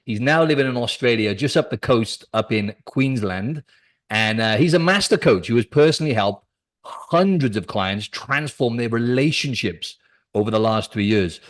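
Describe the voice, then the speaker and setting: A man from Wales with a South Welsh accent, hosting a podcast interview over a call in a casual chatty way, calling his guest "bro" and "man". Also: lowish voice. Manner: informal, peppers speech with "bro" and "man". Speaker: podcast host.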